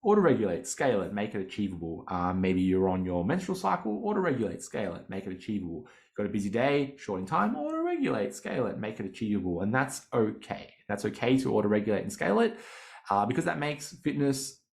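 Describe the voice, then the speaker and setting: A man, a fitness coach giving casual, animated podcast advice and laughing easily. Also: on the low side, fast, creaky.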